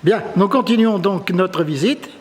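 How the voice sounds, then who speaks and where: Interviewer, man with a high voice, formal questions, long reverb in the room. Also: unhurried. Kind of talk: interview guiding an exhibition visit. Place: tourist office exhibition hall. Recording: reverberant hall with faint ambience.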